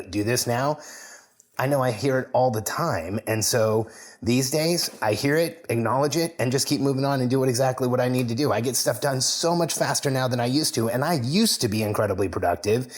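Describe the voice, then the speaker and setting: A man hosting a solo podcast, telling a personal story in an earnest, reflective way and slowing down to stress points. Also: medium pitch. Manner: earnest storytelling, slows down for emphasis. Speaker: podcast host.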